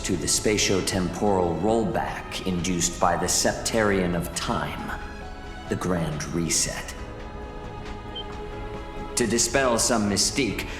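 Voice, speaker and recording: An actor voicing an urbane, smugly courteous corporate manager in a video game, speaking in formal, measured, wordy phrases. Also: male voice, lowish voice, lightly acted. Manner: formal, verbose, genteel, measured. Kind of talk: video game cutscene voice acting, expository. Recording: roomy echo, music underneath.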